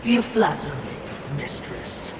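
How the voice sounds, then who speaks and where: A man's voice: sing-song, slow pace, loud music behind the voice. A man voicing an obsequious, sardonic spirit servant in a video game, speaking in a formal, snide and fawning way. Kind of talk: theatrical video game dialogue. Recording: echoey, distant, loud rumbling game ambience.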